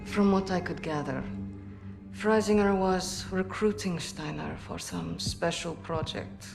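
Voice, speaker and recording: A woman's voice: lowish voice, a little slow, creaky. An actress playing a cool, unbothered female soldier held prisoner in a war game, dry and offhand. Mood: guarded. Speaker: actor. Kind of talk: cinematic war game acting. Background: music.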